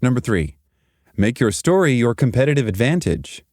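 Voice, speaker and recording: A man giving a scripted motivational career talk in an emphatic, deliberate manner with short punchy sentences. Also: mid-range voice, sing-song, a little mellow, no room sound, studio quality. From Zimbabwe and South Africa. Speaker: podcast host and career speaker.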